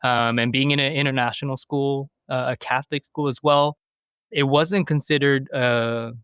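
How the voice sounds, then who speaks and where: A man in his mid-thirties from the United States, talking through his answers in a relaxed interview. His voice is smooth and resonant, and it sits at an ordinary middle pitch. The recording is dry and close. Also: moderate pace, dry recording. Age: 30s. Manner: thoughtful, hesitant, many fillers and restarts. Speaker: podcast guest. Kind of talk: conversational interview.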